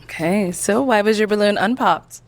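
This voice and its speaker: A woman hosting a dating show, asking contestants brisk, upbeat questions. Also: mid-range voice, noisy background.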